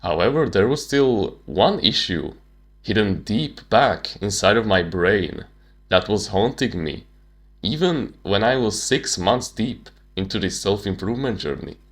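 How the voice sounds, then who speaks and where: Deep-voiced young man. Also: expressive, unhurried. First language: Slovak. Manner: casual, slangy, candid. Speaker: self-improvement channel host. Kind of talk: personal storytelling monologue.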